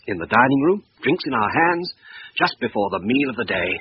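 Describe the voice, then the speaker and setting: Rational English husband, emphatic, very expressive intonation, vintage radio drama, dull hissy sound. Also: male voice, medium pitch. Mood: cosy, contented. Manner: brisk, emphatic, reasonable. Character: rational, dismissive English husband insisting on logical explanations. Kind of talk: old-time British radio drama acting. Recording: muffled, hissy old radio recording.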